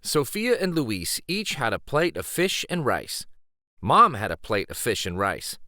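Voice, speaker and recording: A man reading a children's picture book aloud in an expressive, deliberate storytelling style. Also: medium pitch, no echo, studio quality. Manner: deliberate, animated.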